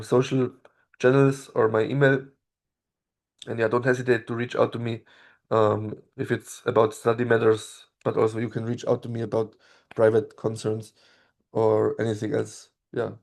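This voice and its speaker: A man whose first language is German, presenting an online study-abroad info session in a steady voice with frequent ums. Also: medium pitch, vocal fry. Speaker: study abroad program representative.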